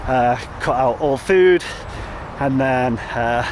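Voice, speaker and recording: A man's voice: medium pitch, slow. A man talking casually to a handheld phone as he walks outside, rambling with lots of fillers and restarts. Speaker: vlogger. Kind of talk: casual walking vlog. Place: outdoors, walking by a road with traffic. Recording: outdoor wind and traffic noise.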